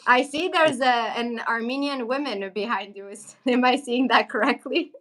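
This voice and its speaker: Woman from syria chatting on a livestream, bright highish voice, informal storyteller. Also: normal speed. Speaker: travel vlogger and livestream host. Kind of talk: casual livestream chat.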